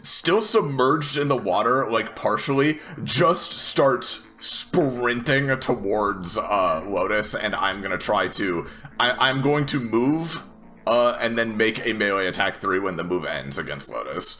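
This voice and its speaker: A man playing a tabletop game, casually declaring his moves in a wry, halting way. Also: fairly low pitch, expressive, pushed and bright. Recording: clear music behind the voice.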